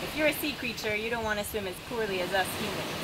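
A woman in her thirties reading a children's story like a teacher, expressive and instructive, outdoors. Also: mid-range voice, fast talker, heavy background noise. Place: open seafront, outdoors. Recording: loud ocean surf behind voice.

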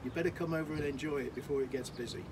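Man, 60s, from the Isle of Man, enthusiastic, emphatic, outdoor balcony, camera mic, clear street noise. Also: mid-range voice, rapid-fire. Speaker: tourist giving a testimonial. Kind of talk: unscripted testimonial to camera.

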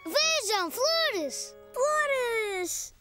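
Tiny excited cartoon boy, super high voice, takes his time, european portuguese, music behind. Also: very slow, exaggerated voice. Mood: excited, happy. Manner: eager, wide-eyed, childlike. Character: cheerful, curious four-year-old boy. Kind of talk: children's cartoon dub.

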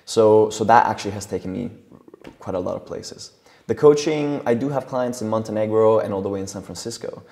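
A young man whose first language is Italian, speaking as a guest coach in a relaxed studio interview on a lapel mic. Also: lowish voice, fairly quick, big-room sound. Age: young adult. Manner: thoughtful, gestures while talking, frequent restarts. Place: studio set with leather sofas and brick wall.

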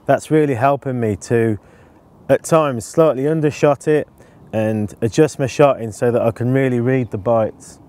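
A man in his forties explaining his canal fishing setup in a relaxed, casual way, with frequent ums, recorded outdoors on a lapel mic. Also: medium pitch. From England. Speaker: angling presenter. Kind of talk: casual instructional talk to camera.

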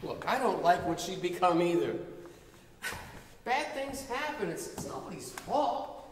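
A man's voice: sits high, expressive, a little slow. An older man playing a weary, scheming detective in a stage play, terse and hard-boiled. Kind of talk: live stage play acting. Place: theater stage with audience, far camera. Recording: echoey theater hall, background noise.

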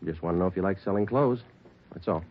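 An actor playing a terse, matter-of-fact police detective who also narrates, in 1940s radio acting style. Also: male voice, low voice, quick pace, a little hiss or hum, natural voice. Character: terse male police detective, clipped and businesslike. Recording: muffled old radio broadcast with music.

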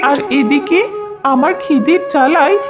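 Woman acting a high-voiced, pleading little village girl. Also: normal speed, over loud music, natural voice. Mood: hungry, pleading. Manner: plaintive, calls out to her mother repeatedly. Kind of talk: cartoon fairy-tale voice acting.

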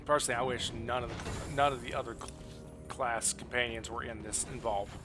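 A man's voice: medium pitch, steady tone, brisk. A middle-aged man giving casual livestream commentary on a game, close on a headset mic. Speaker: game streamer. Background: game music.

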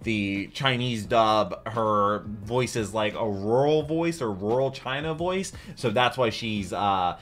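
A man's voice: lowish voice, slow pace, hardly any pauses, a bit bright, a little hiss or hum. A young man hosting a reaction video with informal, rambling, enthusiastic commentary. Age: young adult. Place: small room at home, indoors.

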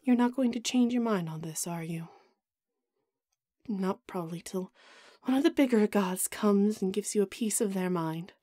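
A woman's voice: mid-range voice, normal speed, dark tone, no echo, clean studio sound, lightly acted. A woman playing a vain, haughty goddess in an audio roleplay drama, melodramatic and put-upon with a whiny edge. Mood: resigned.

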